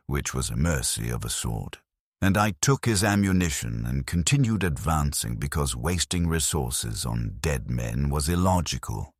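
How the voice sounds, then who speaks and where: An extremely low-pitched male narrator tells a grim military sci-fi tale. His delivery is formal and detached, yet his pitch moves around in a lively way. The recording is dry and close, with no room sound. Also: breathy edge, no room sound. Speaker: narrator of fiction stories. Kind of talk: scripted first-person narration of a grim military sci-fi story.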